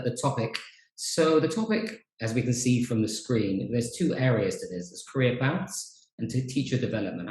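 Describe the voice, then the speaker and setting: A man hosting a casual podcast chat on a video call, relaxed and chatty with frequent fillers. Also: mid-range voice. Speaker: podcast host, teacher trainer. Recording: roomy echo.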